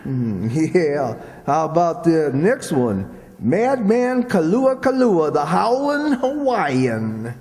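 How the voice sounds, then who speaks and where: Man acting a naive country sidekick, slow drawl, fairly high voice, dry wit, radio comedy, echoing hall. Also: sing-song, darkish tone, heavily acted. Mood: musing. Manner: folksy, hesitant drawling delivery. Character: slow rustic sidekick, naive but dryly wisecracking. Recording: echoey hall with long reverb.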